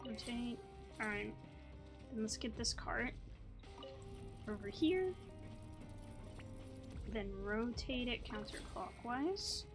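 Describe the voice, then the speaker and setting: A woman's voice: fairly high pitch, slow pace, long pauses. A woman hosting a gaming video, thinking aloud in casual commentary with playful asides. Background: game music.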